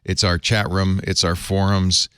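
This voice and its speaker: A man hosting a casual tech talk show, animated and opinionated, discussing his own company's forums. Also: sits low, measured pace, clean tone, no echo, clean studio sound. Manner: lively, animated retelling with quoted voices. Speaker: podcast host.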